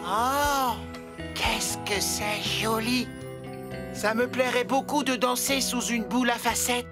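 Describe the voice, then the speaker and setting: A woman's voice: fairly high pitch, fairly even intonation, slow. A woman voicing an enthusiastic, friendly helper character in a children's cartoon dub, with an exclamatory delivery. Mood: delighted. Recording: loud music behind the voice.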